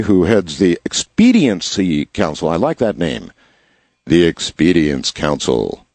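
Slightly hoarse man, host, casual news commentary, wry asides, low voice, dry sound. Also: a little mellow, no echo. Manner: wry asides while reading headlines. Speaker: show host.

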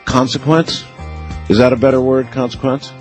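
A man's voice: mid-range voice, level tone, moderate pace, soft background music. Man hosting a radio call-in show. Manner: interjecting with short prompts. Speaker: radio talk show host. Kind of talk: late-night radio call-in chat.

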